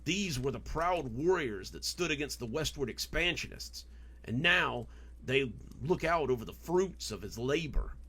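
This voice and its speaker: A man voicing an angry, bitter man at a bar, gruff and insistent, in a storybook reading. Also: medium pitch, animated, measured pace, pushed and bright, pitched above the performer's own voice, exaggerated voice.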